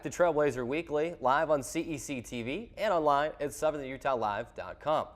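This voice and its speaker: A man's voice: fairly high pitch, animated, moderate pace. A man hosting a sports show, talking conversationally as he introduces and interviews a guest. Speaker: sports show host and play-by-play broadcaster.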